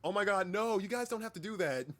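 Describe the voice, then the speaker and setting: A man's voice: sits high, lively intonation, quick pace. A man hosting a casual livestream chat, speaking informally, with repeated words and occasional swearing. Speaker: livestream host and hobby voice actor.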